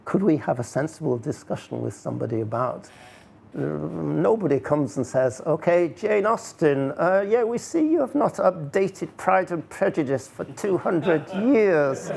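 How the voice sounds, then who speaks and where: A man's voice: on the high side, gravelly, darkish tone, slight background noise. A man speaking informally as he gives a talk and takes questions, with a wry, rhetorical way of talking.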